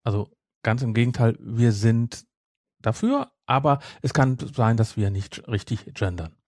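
A man's voice: mid-range voice, a little slow, darkish tone, no echo, clean studio sound. A man chatting casually as a podcast host, explaining things in a rambling way and correcting himself.

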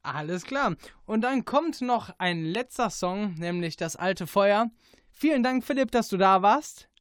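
A woman hosting a casual radio interview with quick-fire questions, giggling readily. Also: medium pitch, expressive, dry recording, studio quality. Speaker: radio host.

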